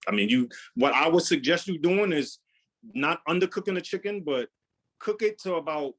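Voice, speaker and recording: A young adult man chef answering cooking questions in a casual live demo, with a loud, carrying voice, often repeating words and using fillers. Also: on the high side, expressive, moderate pace.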